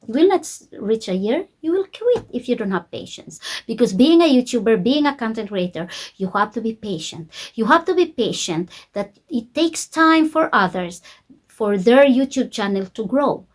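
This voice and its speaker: Woman, Filipino accent, non-native English speaker, somewhat high pitch, informal online coaching, simple deliberate phrasing, emphatic repetition. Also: sing-song. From Philippines. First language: Filipino language. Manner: emphatic, repeats key points. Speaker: YouTube coach. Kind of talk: informal coaching talk to viewers.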